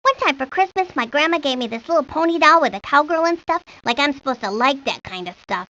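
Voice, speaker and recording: A woman's voice: sits high, animated, quick pace, bright, forceful tone. A woman voicing a feisty, chatty tomboy of a little girl in a children's cartoon game. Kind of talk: cartoon game character lines. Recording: dull lo-fi old game audio.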